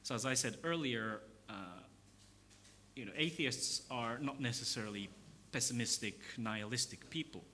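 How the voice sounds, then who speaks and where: A man, a philosophy professor, giving an academic lecture in a measured, explanatory way with pauses and fillers. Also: mid-range voice, bright, forceful tone, a little hiss or hum.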